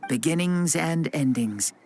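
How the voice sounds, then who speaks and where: A woman's voice: low-pitched, animated, a little slow, dark tone, slight background noise. A woman playing an ancient revived spirit in a borrowed body, playful yet grand, in a theatrical video game style. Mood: grand, proud.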